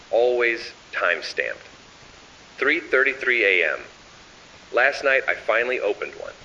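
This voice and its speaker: A man with a lowish, forceful voice delivers ominous horror narration, swinging noticeably in pitch as he measures out each phrase. The recording is dull and sounds distant from the microphone. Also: a little hiss or hum. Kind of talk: scripted analog horror narration, addressing the listener.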